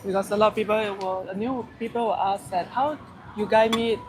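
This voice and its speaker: A woman's voice: medium pitch, fairly even intonation, brisk, noisy background. A woman co-hosting a live travel vlog, chatting casually in simple, broken English over breakfast. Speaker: vlog co-host. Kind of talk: casual live-stream chat. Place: restaurant at breakfast with crowd around. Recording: busy crowd chatter behind.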